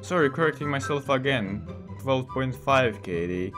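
A man's voice: medium pitch, expressive, normal speed. A sixteen-year-old male gamer who is not a native English speaker, giving casual, rambling commentary as he hosts a gameplay video. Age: 16, teenager. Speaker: gaming video host. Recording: voice under loud game music. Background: music.

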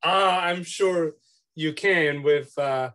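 A middle-aged man from Switzerland with a Swiss accent, interviewing someone over a video call from his home office, talking haltingly with many fillers and restarts. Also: a little high, sharp tone. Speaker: student interviewer. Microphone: laptop webcam on video call.

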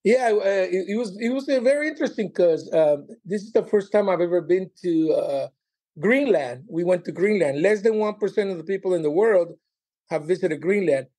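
A man in his sixties chats casually as a podcast guest. His voice is a little high, and he talks in a halting, informal way with a joking streak. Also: animated, warm tone. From Colombia and Miami. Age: 60s. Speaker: podcast guest, stand-up comedian. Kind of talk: casual chat.

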